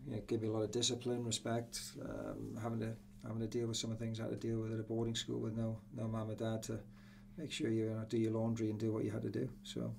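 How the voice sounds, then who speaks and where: A middle-aged man with an English accent, reminiscing casually as an interview guest, often pausing with you-knows. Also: lowish voice, monotone, creaky, darkish tone, slight background noise. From Newcastle, England. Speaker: soccer coach, interview guest. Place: small indoor room with acoustic panels. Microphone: podcast boom microphone.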